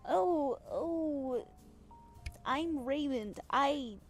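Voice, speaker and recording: A woman voicing an awkward, shy young man who stumbles and trails off, with soft music playing behind. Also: sits high, very slow, pitched above the performer's own voice. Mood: bashful. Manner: hesitant, stumbling.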